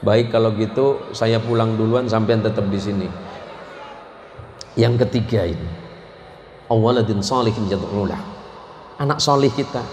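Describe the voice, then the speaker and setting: A man preaching to a live audience with a Madurese-tinged Indonesian accent. He jokes with the crowd, quizzes them and mixes in Madurese and Javanese words. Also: medium pitch. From West Kalimantan, Indonesia. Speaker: Islamic preacher. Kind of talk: humorous sermon to a live audience. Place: large event space with an audience. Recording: echoey venue with faint noise.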